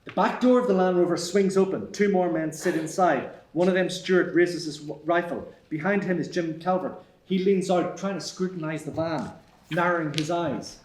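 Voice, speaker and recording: A man's voice: high voice, moderate pace, slight background noise. A man reading stage directions as scripted narration at a screenplay table read. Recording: echoey room.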